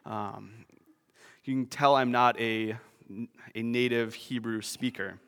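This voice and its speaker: Male pastor, mid-pitch, sermon delivery with self-mocking humor, church hall echo. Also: fairly even intonation, takes it slowly, fairly bright tone, clear, resonant tone. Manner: self-deprecating, nerdy asides.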